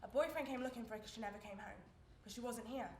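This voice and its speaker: A woman's voice: fairly high pitch, fast talker, natural voice. A young woman acting on stage as a guarded, dismissive co-worker with a blunt, clipped manner. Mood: guarded, curt. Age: young adult. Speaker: actor in a stage play. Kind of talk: live stage acting, naturalistic dialogue. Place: indoor stage performance space. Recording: echoey room with clear background noise.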